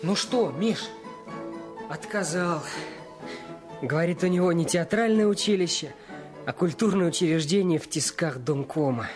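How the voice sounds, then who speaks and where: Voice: mid-range voice, a little slow, pauses often, a little mellow, soft background music, natural voice. A performer playing an eager, easily flustered schoolboy in a Russian radio play, speaking with urgency. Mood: determined.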